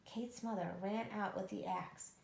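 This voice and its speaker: A woman reading a storybook aloud to children with expressive narration. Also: mid-range voice, measured pace, gravelly. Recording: echoey room.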